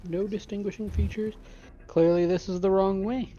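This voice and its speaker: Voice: medium pitch, steady tone, moderate pace, warm tone. A tabletop game player chatting casually and giving practical advice, in dull audio with some noise.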